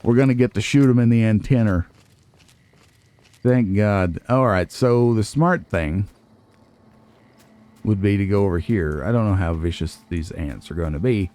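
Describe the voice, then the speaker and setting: Low-voiced man playfully commentating a game. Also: warm tone. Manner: playful, folksy, wry asides. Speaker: let's play host. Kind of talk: casual game commentary, talking to himself and the viewers.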